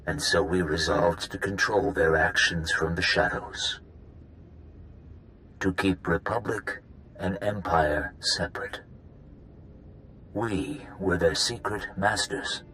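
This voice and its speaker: A man voicing a grave, ancient founder of a secret cabal, telling history deliberately in a video game. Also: low-pitched, fairly bright tone, slight background noise. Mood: solemn. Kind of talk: video game cutscene dialogue.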